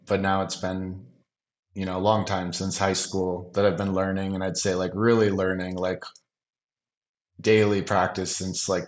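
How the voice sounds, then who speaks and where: A man's voice: low voice, echoey room. A man in his thirties, a podcast guest, talking casually with many fillers. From United States. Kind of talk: casual interview chat.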